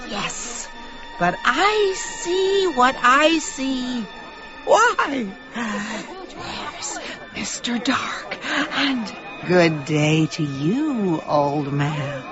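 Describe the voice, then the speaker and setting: A woman's voice: medium pitch, exaggerated voice. A woman playing an eerie blind witch fortune-teller in a radio drama, chanting her lines like incantations between gasps. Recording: muffled radio broadcast with music behind.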